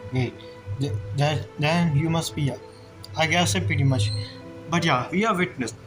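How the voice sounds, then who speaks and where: Game streamer, casual reactions, blunt, halting, sweary, mid-pitched, prominent music behind.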